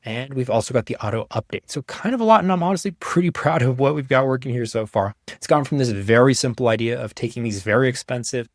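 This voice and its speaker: A young man giving a casual, conversational walkthrough of software he made, in an informal way. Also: mid-range voice, fast, few pauses, no echo, clean studio sound. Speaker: app developer presenting his own software.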